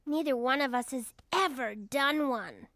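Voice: high-pitched, measured pace, sharp tone, dry recording, studio quality, full character voice. A performer voicing an eager, giggly young girl bunny in a children's cartoon, enthusiastic and agreeable. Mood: thoughtful.

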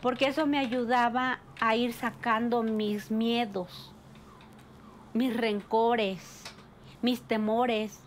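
A woman recounting her past in a halting way, restarting phrases and pausing. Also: fairly high pitch, fairly even intonation, a little hiss or hum. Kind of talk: interview recollection.

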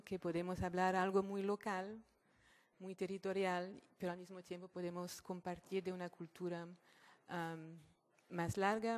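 A woman giving a public lecture as a guest speaker in non-native Spanish, halting as she searches for words. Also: fairly low pitch, darkish tone. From born in Morocco, French mother, Catalan family. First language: not Spanish; French-schooled. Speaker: guest speaker, sociologist and activist.

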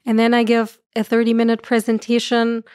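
Podcast guest, German-accented woman, middle-aged, expressive and earnest, dead-dry studio sound. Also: fairly high pitch, brisk, clean tone, no room sound. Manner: earnest, gestures a lot, laughs often. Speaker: guest astrophysicist. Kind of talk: podcast conversation. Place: room with curtains. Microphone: studio mic on boom arm.

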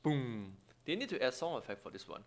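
A man's voice: mid-range voice, sing-song, a little fast. A man giving casual gameplay commentary in short exclamatory bursts, with non-native English phrasing. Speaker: gaming YouTuber. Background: game music.

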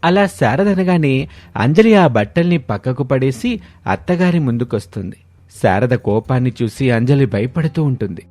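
A man's voice: medium pitch, animated, normal speed, darkish tone. A man reading scripted narration for a family story as its narrator. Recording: music behind the voice.

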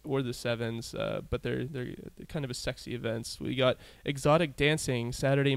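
A man's voice: mid-range voice, a bit bright, clear, resonant tone, no room sound. A man hosting a casual podcast interview, reading event listings with fillers and frequent laughs. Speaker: podcast host.

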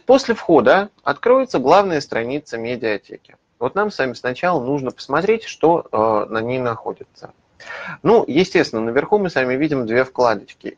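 Man giving a hesitant webinar walkthrough. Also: medium pitch. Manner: explanatory, many hesitation fillers. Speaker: publisher's lead methodologist. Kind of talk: webinar walkthrough of a digital library. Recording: slightly dull lo-fi webinar audio.

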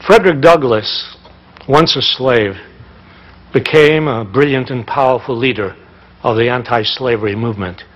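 A man's voice: mid-range voice, normal speed. A man reads scripted historical narration on a theatre stage. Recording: slightly dull stage sound.

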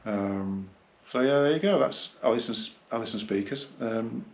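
A man gives a casual, unscripted hi-fi review, rambling and halting as he reminisces. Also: medium pitch, creaky. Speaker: hi-fi dealer and reviewer. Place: small carpeted indoor room, seated.

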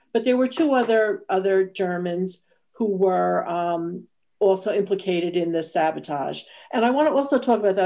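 Woman, soft dark timbre, mid pitch, historian panelist explaining things informally online. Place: indoors at home, on a video call. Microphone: computer video-call audio.

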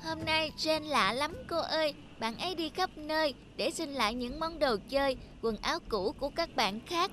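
A woman's voice: sits high, moderate pace, hardly any pauses, a bit bright, soft background music. A woman voicing a spoiled rich little girl in a cartoon, who talks in an eager, chatty, childlike way. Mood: curious. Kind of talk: cartoon dubbing.

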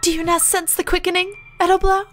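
Woman as young male anime pigeon, self-styled fallen angel: very high voice, grandiose archaic declaiming, music behind. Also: level tone, quick pace, fairly bright tone. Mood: urgent, dramatic. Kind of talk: visual novel voice-over, anime parody.

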